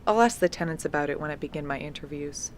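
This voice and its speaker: Noisy field recording, young woman, actress as diligent researcher, lowish pitch, polite, matter-of-fact, audio drama. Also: quick pace, natural voice. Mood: businesslike. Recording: noisy handheld field tape with clicks.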